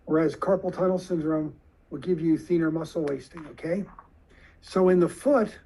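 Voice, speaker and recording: A man with a fairly high, husky voice teaches a room of surgeons. He explains slowly and deliberately, often stopping to put questions to his listeners. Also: warm tone, rough recording. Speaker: surgeon lecturer.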